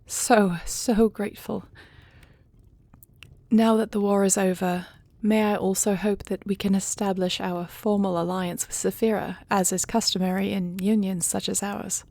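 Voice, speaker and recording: Woman acting as earnest, creaky-voiced fantasy queen. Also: medium pitch, level tone, mellow, no room sound. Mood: grateful. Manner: formal, deliberate phrasing. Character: dutiful dragon-shifter queen, earnest and measured, gracious monarch. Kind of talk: fantasy audio roleplay acting.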